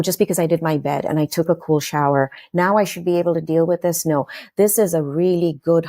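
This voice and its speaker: Woman from kenya, 40s, low voice, talks it through slow and earnest, dry close mic. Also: darkish tone, dry recording. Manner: reflective, earnest, with frequent fillers and restarts. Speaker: podcast guest. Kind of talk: candid personal storytelling.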